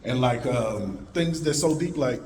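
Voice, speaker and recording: A man with a deep voice speaks to a live conference audience. His motivational talk is animated and swings from loud calls to the crowd to hushed, low tones. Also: unhurried, slight background noise. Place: conference hall.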